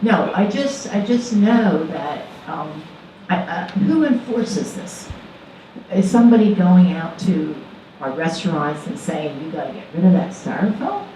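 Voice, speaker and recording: A woman resident at a public meeting asking questions, hesitant with pauses and ums. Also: fairly low pitch, lively intonation, mellow, slight background noise. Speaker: resident commenting. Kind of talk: public comment. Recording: echoey room, distant mic.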